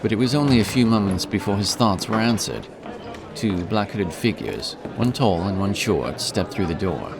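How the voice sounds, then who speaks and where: A man's voice: fairly low pitch, lively intonation, moderate pace, creaky, noisy background. A man narrating a scripted fantasy story. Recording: narration over prominent music. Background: music.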